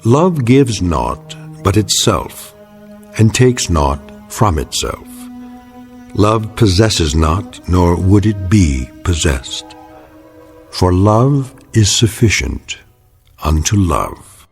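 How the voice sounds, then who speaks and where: A man presenting scripted literary narration in a solemn, measured way. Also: deep voice, animated, creaky, faint music behind.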